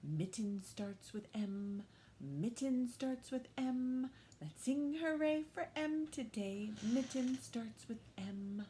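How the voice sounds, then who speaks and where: A woman leads a storytime for little children, with faint noise behind her. Her pitch rises and falls a great deal as she talks and reads, and she takes her time. She speaks in simple questions and short phrases, as an expressive storyteller. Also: slow. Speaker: storytime host reading to young children. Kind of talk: children's storytime, talk and picture-book read-aloud.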